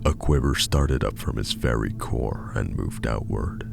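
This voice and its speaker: A man reading a scripted horror story as narrator, in a measured, dramatic way. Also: very deep voice, gravelly. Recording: music behind the voice.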